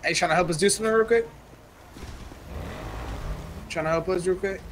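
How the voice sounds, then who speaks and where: A man's voice: high voice, normal speed. A man chatting casually in slangy, profane language as he plays an online roleplay game. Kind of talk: casual gaming chat. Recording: noisy game audio with engine sounds. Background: game car noise.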